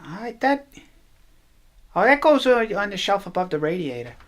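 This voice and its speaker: An actress playing a shy, modest chief stagehand in a radio drama, talking haltingly and without show. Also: female voice, mid-range voice, big pitch swings, lightly acted. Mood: shy, content. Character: shy, modest, kindly female chief stagehand after work. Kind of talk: naturalistic radio drama dialogue.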